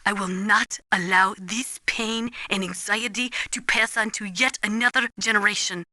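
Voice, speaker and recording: A woman playing an earnest, resolute Ukrainian weapons analyst in a video game, speaking gravely and deliberately as if lecturing. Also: mid-range voice, fairly even intonation, pushed and bright, dry recording, studio quality. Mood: somber, resolute. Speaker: military advisor briefing a soldier. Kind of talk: dramatic video game voice acting.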